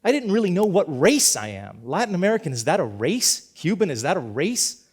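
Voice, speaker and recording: A man's voice: high voice, very animated, brisk, breathy edge. A middle-aged man from Cuba, a teacher, giving an earnest prepared talk in a storytelling manner, with frequent restarts and repeated words.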